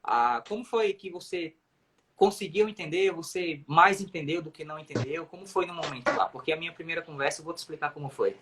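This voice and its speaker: Man, Brazilian accent, language coach, slightly raised pitch, casual chat, emphatic explaining. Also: brisk, fairly bright tone, a little hiss or hum. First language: Portuguese. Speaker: self-taught English coach on a livestream.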